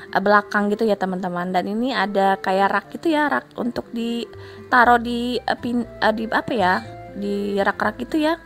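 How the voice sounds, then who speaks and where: Nasal-voiced woman with a cold. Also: medium pitch. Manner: chatty, keeps addressing viewers, tags lines with soft fillers. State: stuffy nose from a cold. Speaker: homemaker vlogger. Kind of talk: casual vlog voice-over. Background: faint background music.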